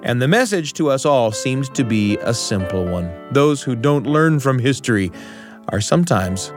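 Casting: male radio host, mid-pitched, lively and emphatic storytelling delivery, light music behind. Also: normal speed.

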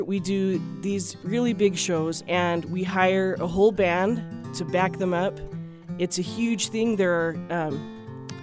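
Chatty woman musician over light guitar. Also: lowish voice. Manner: chatty, self-deprecating, breaks into small laughs. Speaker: guest musician and music teacher. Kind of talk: casual personal anecdote in an interview.

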